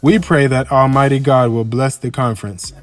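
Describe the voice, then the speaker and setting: A man reads a formal address aloud in a solemn, measured, oratorical manner. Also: medium pitch, fairly even intonation. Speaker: reader of a historical speech. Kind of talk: formal speech read aloud. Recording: music under the voice. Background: background music.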